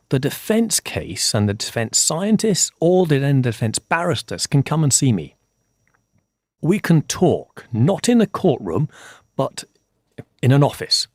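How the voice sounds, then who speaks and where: An older man from Scotland, a forensic scientist, answering interview questions in a measured, explanatory way and repeating key points for emphasis. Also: fairly high pitch, a little mellow, dry recording, clean studio sound.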